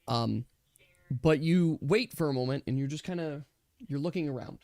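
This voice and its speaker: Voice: medium pitch, normal speed, a little mellow, no room sound. A game master with a mid-range voice and a dry, close recording. The delivery is casual and conversational, narrating a tabletop role-playing scene to the players.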